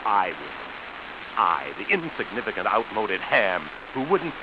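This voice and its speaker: An actor playing an embittered, falsely genial schemer with quiet menace, savoring his words in 1940s radio suspense style. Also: lowish voice, very animated, takes it slowly, full character voice. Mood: gloating menace. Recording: muffled, hissy vintage radio recording.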